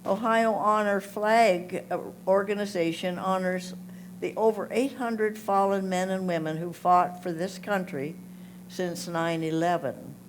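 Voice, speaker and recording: Slow-reading woman with background hum. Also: mid-range voice, fairly even intonation, rough recording. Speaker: reader of photo captions at a photo club presentation. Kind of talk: slow read-aloud photo captions. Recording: clear background noise with hum.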